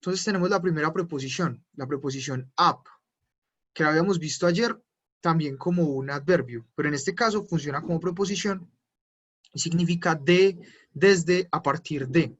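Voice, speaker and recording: A man's voice: fairly high pitch, moderate pace. A man teaching German in an online class, explaining patiently step by step with frequent fillers. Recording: dull lo-fi online class audio.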